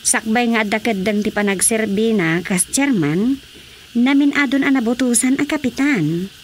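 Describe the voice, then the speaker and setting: A woman playing a talkative, concerned village woman in a Filipino radio drama, with an Ilocano accent and expressive, gossipy delivery. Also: fairly high pitch, moderate pace, slight background noise, natural voice.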